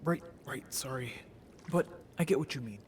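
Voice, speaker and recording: A man's voice: mid-range voice, animated, moderate pace, slight background noise, natural voice. A man voicing a naive, curious young merfolk in an audio drama, talking casually and expressively with earnest cheek. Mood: hopeful, earnest. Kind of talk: scripted audio drama roleplay.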